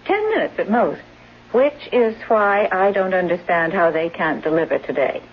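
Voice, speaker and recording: A scheming caregiver in an old radio play. Her husky, medium-pitched voice is breezy and mocking, and the recording is muffled. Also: expressive, normal speed. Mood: coolly mocking. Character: coolly taunting, scheming female caregiver and mistress. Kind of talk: 1970s radio drama acting.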